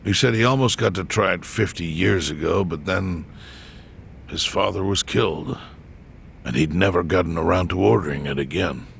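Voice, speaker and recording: An elderly yakuza chairman in a game cutscene. The voice is extremely deep and full of gravel. He speaks with weary gravity, deliberate and composed. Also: fairly bright tone, slight background noise, exaggerated voice. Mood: somber, reflective. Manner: measured, solemn, deliberate. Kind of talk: dramatic game voice acting.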